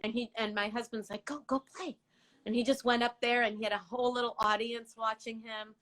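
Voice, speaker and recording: A woman's voice: a little high, level tone, fairly quick, fairly bright tone. A middle-aged woman from Iran, a native Farsi speaker, chatting as a guest in a giggly, talkative way, often restarting words. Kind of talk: casual live-stream chat.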